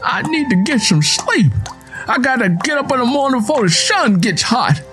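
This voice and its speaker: Man acting a high-pitched, volatile rural husband. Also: big pitch swings, moderate pace, fairly bright tone, soft background music, heavily acted. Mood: irritated. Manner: folksy dialect, badgering questions. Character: rough, domineering young rural husband, volatile temper.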